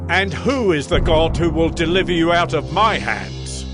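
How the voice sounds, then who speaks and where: An actor playing a proud, commanding king of Babylon in a dramatized audio Bible, declaiming in an imperious, measured way. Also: a little high, lively intonation, natural voice. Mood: imperious, threatening. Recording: music behind the voice.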